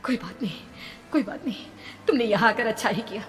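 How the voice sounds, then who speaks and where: A woman's voice: fairly high pitch, lively intonation, brisk, fairly bright tone, voice pushed up. A woman plays a kindly aunt in a spooky story, full of worry. Her speech is emphatic and questioning, ringing in long reverb over faint noise. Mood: concerned, caring. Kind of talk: dramatized horror storytelling.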